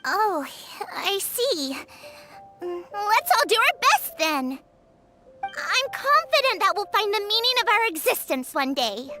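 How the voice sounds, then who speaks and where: A woman's voice: extremely high pitch, big pitch swings, takes it slowly, over music, full character voice. A woman voicing a young, childlike magical creature girl in a video game, earnest, polite, eager and kind. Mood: hopeful.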